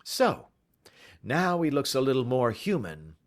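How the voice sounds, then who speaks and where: A man voicing a brisk, masterful gentleman detective in an audiobook, speaking with formal precision and dry mockery. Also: mid-range voice, sing-song, pitched above the performer's own voice. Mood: cool, accusing. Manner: commanding, precise, dryly mocking, formal. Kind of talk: audiobook character voice.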